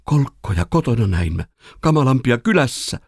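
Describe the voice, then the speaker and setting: A man's voice: medium pitch, very animated, gravelly, husky, dry recording, clean studio sound, voice pushed up, full character voice. A man reciting as a frightened, anguished young son who pleads and laments in verse. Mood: panicked dread.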